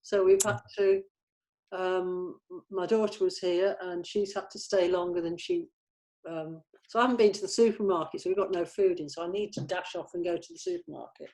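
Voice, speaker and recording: A middle-aged woman chatting casually as a producer in a planning meeting, agreeable and a little halting. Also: on the low side, steady tone, mellow.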